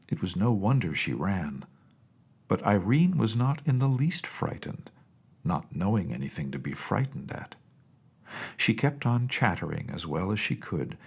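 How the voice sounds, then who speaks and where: A male narrator reads a storybook in a measured, expressive manner. His voice is low and husky, with plenty of creak in it. He is telling a scripted tale as an audiobook.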